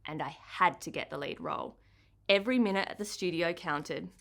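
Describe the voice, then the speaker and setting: A woman with an Australian accent, an author giving an expressive first-person reading of her children's book. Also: lowish voice, normal speed, vocal fry.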